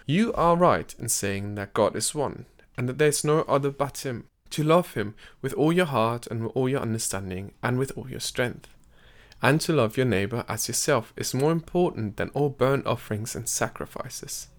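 Podcast narrator: a man with a mid-range voice, measured storytelling delivery, scripted. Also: mid-range voice, expressive. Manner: measured storyteller, lifts his voice for quoted speech.

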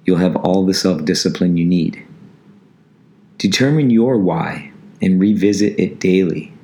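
A man hosting a motivational podcast, his voice raspy and hoarse as it recovers from laryngitis, speaking slowly and steadily. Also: sits low, dark tone. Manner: slow, measured motivational delivery. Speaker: martial arts instructor and podcast host.

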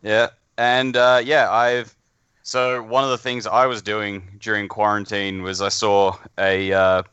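A man with an Australian accent and a lowish, clean, resonant voice, recorded dry. He chats informally as a podcast guest, blunt and sweary, often poking fun at himself. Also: level tone, a little slow, sharp tone, smooth, dry recording, dull audio. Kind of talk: casual chat.

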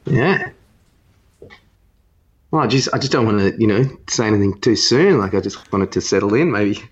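A man's voice: on the low side, expressive, normal speed, husky, dull audio. A man chatting casually as a podcast host, hesitant and tentative in how he talks. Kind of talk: casual chat.

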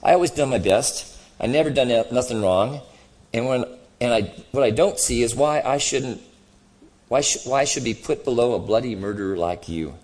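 A man's voice: mid-range voice, animated, moderate pace, darkish tone, pitched above the performer's own voice, natural voice. A man voicing a sulky, belligerent ghost of a working-class boss in a storybook reading, blustering and self-righteous. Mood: aggrieved, blustering.

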